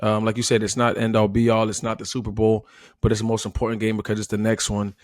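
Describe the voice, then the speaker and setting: A man's voice: on the low side, monotone, fast talker, dry recording, studio quality. An American man analysing football off the cuff as a former player, emphatic and often checking in with "right?".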